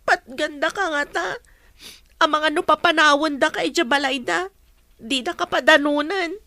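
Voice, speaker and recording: A woman's voice: high-pitched, normal speed, pushed and bright. A woman playing a fearful, ashamed young daughter in an Ilocano radio drama. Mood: upset, fearful. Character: fearful, ashamed, wounded daughter refusing to face people.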